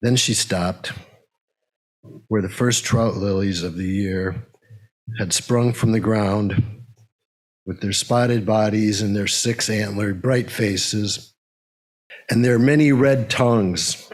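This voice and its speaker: A man with a fairly low voice speaks at a very unhurried pace to a congregation. His delivery is halting and plain, like someone leading a small service. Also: low-quality recording. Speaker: service leader and poem reader. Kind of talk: casual announcements and poetry reading to a congregation.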